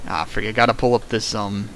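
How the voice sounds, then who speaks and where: A man's voice: lowish voice, a bit bright, a little hiss or hum, low-quality recording. A man reading a fan fic aloud for a stream, breaking off into sarcastic comments and sudden sing-song bits. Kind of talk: comedic dramatic reading with riffing.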